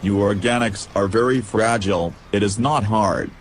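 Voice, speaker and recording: A man acts out a male command droid for a sci-fi audio drama. The voice is on the low side, dry and deadpan, with formal, literal-minded phrasing. Faint noise sits behind it. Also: moderate pace, lightly acted. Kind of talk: scripted audio drama acting.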